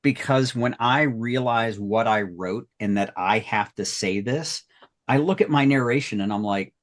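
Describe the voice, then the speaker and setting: Self-mocking man of middling pitch. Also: measured pace. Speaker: writer and narrator. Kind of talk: casual panel chat.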